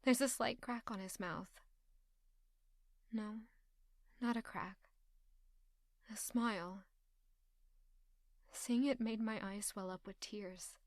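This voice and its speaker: A woman's voice: medium pitch, slow pace, long pauses, no room sound, clean studio sound. A woman acting a hurt, stubborn young woman in an audio drama, with an intense, emotional delivery. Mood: hushed, sorrowful.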